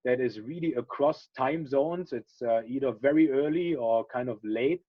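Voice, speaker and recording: A man's voice: mid-range voice, normal speed. A middle-aged man from Germany hosting a webinar over a video call, chatty and friendly with many fillers. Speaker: webinar host. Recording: dull lo-fi video call audio.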